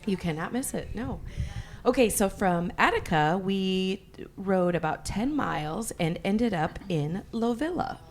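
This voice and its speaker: A woman co-hosting a cycling podcast in casual chat, her voice a little hoarse, laughing often. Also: mid-range voice, animated, unhurried. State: slightly hoarse. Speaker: podcast co-host.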